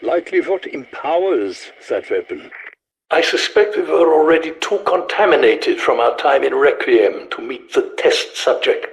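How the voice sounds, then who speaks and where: An actor playing an eccentric, haughty elderly German scientist in a video game, with a German accent and a pompous, theatrical, precisely worded delivery. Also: male voice, medium pitch, creaky, slightly rough, no room sound, heavily acted. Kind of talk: video game character voice lines.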